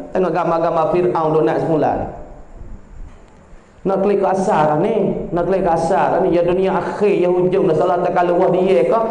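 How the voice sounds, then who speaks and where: A man with a Kelantan-Pattani Malay accent giving a religious lecture, chanting Arabic verses slowly and then explaining them with animation. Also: high-pitched, a little mellow, echoey room, poor audio. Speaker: religious teacher. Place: community gathering hall.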